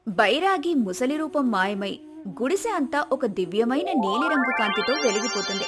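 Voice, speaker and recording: A woman's voice: fairly high pitch, animated, normal speed. A woman reading a scripted moral village story as its narrator. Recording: music behind.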